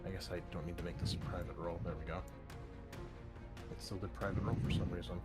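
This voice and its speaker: A man's voice: deep voice, little pitch movement, fast, loud music behind the voice. A man acting as game master of a tabletop game, explaining the scene in an informal, conversational way. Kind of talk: casual tabletop game narration.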